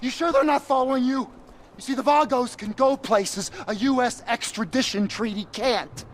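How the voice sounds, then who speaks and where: Jittery drug dealer, rambling, nervous, wisecracking, game cutscene, faint noise. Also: male voice, extremely high pitch, normal speed. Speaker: nervous accomplice in a crime crew.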